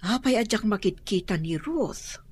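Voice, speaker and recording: A woman's voice: medium pitch, lively intonation, moderate pace, a little mellow, breathy edge. An actress with an Ilocano Filipino accent playing a caring, inquisitive older woman neighbour in a radio drama. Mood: inquisitive, approving.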